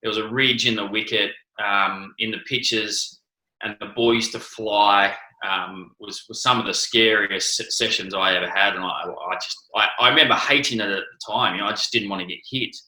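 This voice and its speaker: A man's voice: lowish voice, fairly even intonation, moderate pace, sharp tone, echoey room, poor audio. A man with an Australian accent answering interview questions, hesitant, with many restarts and ums. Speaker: interview guest, former cricketer.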